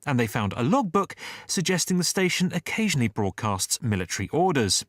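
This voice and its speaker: A man's voice: a little high, very animated, a little fast, no echo, clean studio sound. A man reading scripted investigative narration as a documentary narrator.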